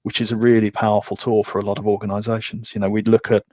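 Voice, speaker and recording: A man with a fairly low voice and very little melodic movement talks through technical ideas as an interview guest. He explains in a discursive, conversational way. The sound is close and dry, with a slightly dull top end. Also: flat delivery, fast, dark tone, dry recording. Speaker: podcast guest, technical architect.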